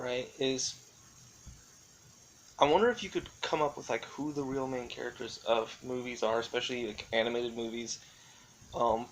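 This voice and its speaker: Man, mid-range pitch, casual vlog commentary, tentative, rambling, thinking aloud, slightly noisy recording. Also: fairly bright tone. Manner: tentative, thinking aloud, often qualifying himself. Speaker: vlogger. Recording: clearly audible background noise.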